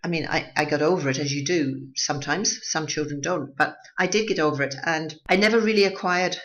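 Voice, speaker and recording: A radio host with a mixed Scottish and Durham English accent gives a measured, reflective commentary monologue, often restarting phrases. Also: mid-range voice, a bit bright. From Scotland, raised partly in Durham, England. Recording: dull lo-fi recording.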